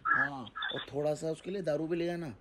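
A man's voice: a little high, steady tone, fairly quick, bright, forceful tone. A man speaking as a guru advising a caller in casual phone talk, giving instructions and often checking he is understood. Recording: phone call recording.

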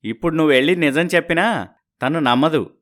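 An actor plays an arrogant, scheming husband in a dramatized moral story. The voice sits at a middle pitch. He boasts, mocks and threatens with a sneering, careless superiority. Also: brisk, lightly acted. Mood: mocking, gloating. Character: arrogant, scheming, mocking husband living in America.

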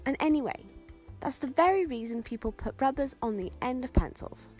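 A woman's voice: sits high, lively intonation, a little fast, a little mellow, soft background music. A woman voicing a naive, earnest, tender-hearted young woman friend in a radio play, with a girlish manner. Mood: earnest concern.

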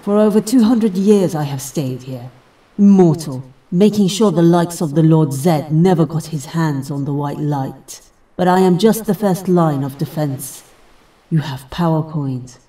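A woman's voice: low voice, measured pace, mellow, far from the mic, rough recording. An actress playing a stern, commanding immortal female warrior guardian in an audio drama, speaking solemnly.

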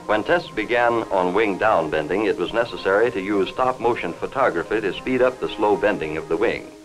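Male American narrator, lowish voice, formal 1950s newsreel delivery, measured and factual, music underneath. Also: low-quality recording. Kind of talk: 1950s scripted industrial film narration. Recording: vintage 1950s film soundtrack.

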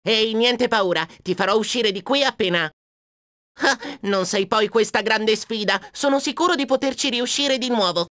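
A woman's voice: fairly high pitch, normal speed, fairly bright tone, no echo, close to an everyday voice. A woman voicing a plucky, cheeky young male dragon hero in a video game, self-assured and wisecracking, close on a dry studio mic. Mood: cocky. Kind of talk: video game character acting. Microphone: close studio microphone, dry.

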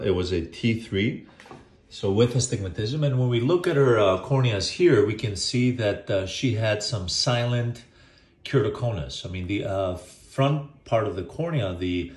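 Surgeon, low voice, echoey office. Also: male voice, a little mellow. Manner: loose, thinking aloud with many fillers. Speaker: eye surgeon. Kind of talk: informal clinical case video. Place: eye clinic office, indoors. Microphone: phone camera at arm's length.

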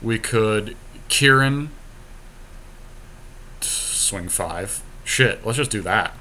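Low-voiced man commentating a game over noise. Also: lively intonation, very slow, pushed and bright. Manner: thinking aloud, mild swearing. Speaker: podcast co-host playing a card game. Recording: clear background noise.

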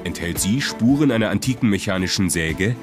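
Low-voiced documentary narrator, man. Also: animated, a little fast. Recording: music behind the voice. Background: music.

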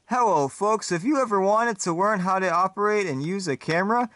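A pushy infomercial announcer, acted by a man sells with over-the-top hype. He pitches his voice well above his natural range. The read is dry and upfront. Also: fairly quick, fairly bright tone, no echo. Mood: hyped.

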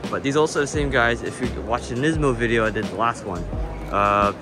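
Excited man touring a car show. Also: medium pitch, brisk. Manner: enthusiastic, casual, swears lightly. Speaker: car enthusiast vlogger. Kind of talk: casual walkaround commentary. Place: large indoor car show hall. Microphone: handheld camera, filming point of view. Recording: loud music behind, busy hall.

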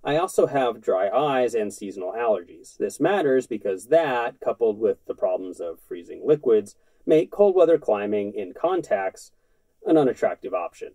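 A man's voice: fairly low pitch, moderate pace, dry recording, low-quality recording. A man in his thirties talking to camera indoors, giving a scripted, matter-of-fact explainer on outdoor gear. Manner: earnest, matter-of-fact, steady delivery. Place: indoors in front of a brick-pattern backdrop.

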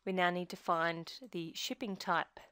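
A woman presenting a software tutorial in scripted screencast narration, giving measured step-by-step instruction. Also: fairly low pitch, flat delivery, clean tone, dry recording, clean studio sound.

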